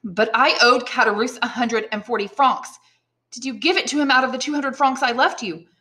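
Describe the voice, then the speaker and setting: A woman's voice: on the high side, fairly even intonation, normal speed, pushed and bright, voice pushed up. A woman voicing an eager, earnest young sailor son in an audiobook, speaking emphatically. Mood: dismayed. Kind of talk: audiobook character dialogue.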